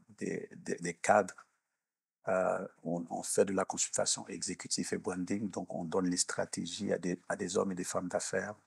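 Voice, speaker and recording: A mature man speaks in a low voice with a rough, husky grain, recorded close and dry. He answers like a minister being interviewed, explaining deliberately. He often pauses to search for the next word. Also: no echo. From born in Haiti, grew up in Canada. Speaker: minister and executive coach, interview guest. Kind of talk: measured interview talk with preaching explanation.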